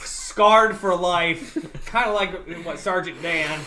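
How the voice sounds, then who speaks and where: A man's voice: high voice, takes it slowly, background noise. A man joking and swearing in casual group chat. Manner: jokey, profane.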